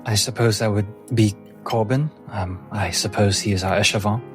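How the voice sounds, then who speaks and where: A man's voice: lowish voice, fairly even intonation, normal speed, faint music behind, natural voice. A man voicing a timid, deferential female servant mystic in a tabletop game, with a hesitant, stammering and very formal manner. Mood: anxious, reluctant.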